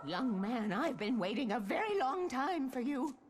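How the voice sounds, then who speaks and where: An eccentric older woman in a video game drama. Her voice sits a little high and she speaks brusquely, as if used to giving orders. Loud music runs underneath. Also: sing-song, normal speed. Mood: brusque, impatient. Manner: brusque, commanding, impatient.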